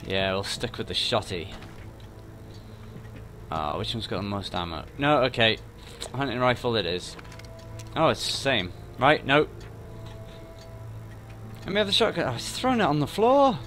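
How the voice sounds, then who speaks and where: A man's voice: medium pitch, very animated, a little slow, pauses often, fairly bright tone. A man giving casual, jokey gameplay commentary, chatting to the characters as he goes. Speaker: video game streamer. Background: game sounds and music.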